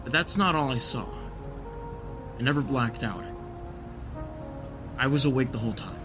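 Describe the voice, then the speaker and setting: A man playing an earnest, insistent character in a scripted audio drama, speaking deliberately and with conviction. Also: mid-range voice, expressive, music in the background, natural voice.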